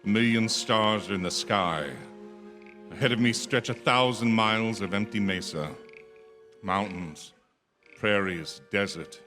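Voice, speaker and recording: An actor playing a haunted, desperate traveling man in a radio drama, halting and prone to stammer. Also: fairly low pitch, bright, forceful tone. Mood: haunted dread. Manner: halting, stammering when distressed. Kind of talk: radio drama acting, first-person narration and dialogue. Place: theatre stage. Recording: live theatre reverb, music underneath.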